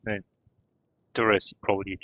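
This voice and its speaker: A man's voice: lowish voice, level tone, moderate pace, dry recording, dull audio. A man talking casually as a game livestreamer.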